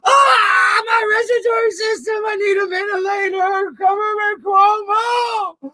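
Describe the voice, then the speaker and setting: A man's voice: very high-pitched, fairly even intonation, a little slow, heavy background noise, voice pushed up. A man playing a wailing, gasping sick man. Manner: melodramatic wailing and shouting.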